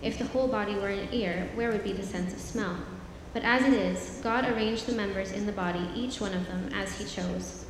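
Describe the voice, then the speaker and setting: A woman reading a scripture passage aloud in church in a steady, measured way. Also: mid-range voice, slight background noise. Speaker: scripture reader. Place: church sanctuary. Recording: very echoey church hall, heard from afar.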